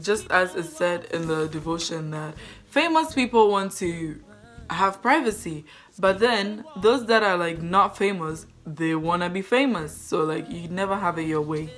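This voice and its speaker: A young woman with a deep, low voice reading a daily devotion aloud, then reflecting on it earnestly in a casual way, filming herself up close in her bedroom. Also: fairly bright tone. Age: young adult. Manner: earnest, thoughtful, with fillers like "like". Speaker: devotional vlogger. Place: bedroom with a tapestry on the wall.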